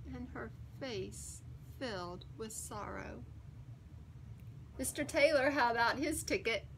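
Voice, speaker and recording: A woman reading a children's picture book aloud in an expressive, deliberate and emphatic storytelling manner. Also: fairly high pitch, vocal fry, slight background noise, rough recording. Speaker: story time reader.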